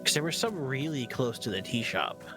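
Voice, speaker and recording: A man's voice: mid-range voice, animated, normal speed. A man chatting casually as a guest on a game stream, a bit hesitant, with trailing sentences and small interjections. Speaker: guest streamer helping along. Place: remote voice call. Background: game music.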